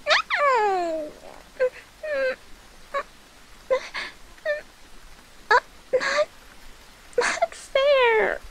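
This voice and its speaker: A shy, clingy catgirl familiar, acted by a woman for an ASMR roleplay. The voice is very high and slow, with flustered, halting, pleading delivery. There is some faint noise behind it. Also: full character voice. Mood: flustered protest.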